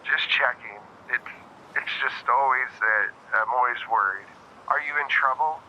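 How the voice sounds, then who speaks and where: A man's voice: medium pitch, unhurried, bright, forceful tone, highs cut off, slight background noise. A man voicing an anxious, suspicious bride-to-be in a tabletop game, questioning and hesitant. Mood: worried, suspicious. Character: anxious, suspicious bride-to-be fretting over wedding plans.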